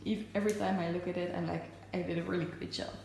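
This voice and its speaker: A young woman in her twenties with a slightly raspy just-woken voice and hiccups, chatting casually while showing gifts, recorded on a handheld camera. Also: fairly low pitch, level tone, fast talker, a little mellow, roomy sound, a little hiss or hum, rough recording. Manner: chatty, self-narrating while wrapping gifts. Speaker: vlogger. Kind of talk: casual vlog chat. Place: home kitchen and living room.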